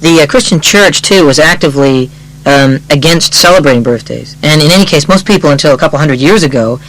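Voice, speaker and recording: A man acting as a male newspaper columnist with a fairly high voice. He explains things in a chatty, halting way, as a guest in a staged radio interview. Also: fairly bright tone, low-quality recording. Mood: chatty, informative. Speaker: studio guest, birthday columnist. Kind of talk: acted radio interview for an English lesson.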